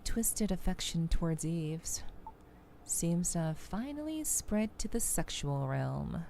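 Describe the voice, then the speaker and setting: A woman's voice: lowish voice, animated, takes it slowly, vocal fry, dark tone, slight background noise. A woman livestreaming a game as a VTuber, reading dialogue aloud and commenting on it. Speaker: streamer, VTuber. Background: faint background music.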